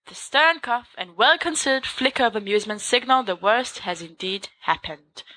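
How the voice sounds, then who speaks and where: A woman narrating a story aloud in an expressive, lively reading. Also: a little high, moderate pace, rough recording. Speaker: podfic reader.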